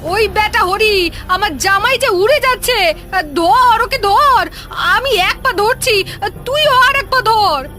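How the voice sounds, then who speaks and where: A woman playing a bossy, fretful, shrill village mother-in-law in a cartoon. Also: slow pace, pushed and bright, heavily acted. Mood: alarmed, bossy. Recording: music behind the voice.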